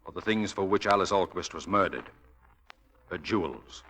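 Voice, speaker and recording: A low-pitched, insistent detective in a 1940s radio drama. He speaks in a clipped, deliberate way, earnest and protective, as someone used to giving orders. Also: animated, a bit bright. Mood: urgent, revelatory.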